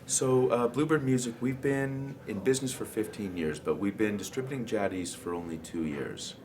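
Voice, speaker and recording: A man giving an informal, explanatory product presentation as a distributor at a trade show, with halting pauses. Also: fairly low pitch, crowd noise. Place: indoor trade show demo room. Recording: clearly noisy trade show room.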